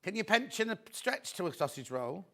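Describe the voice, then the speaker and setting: A man hosting a live shopping show, chatty and friendly, reading out viewer messages with a slightly croaky voice. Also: high-pitched, very animated, normal speed. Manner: chatty, reads viewer messages aloud warmly. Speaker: shopping channel host.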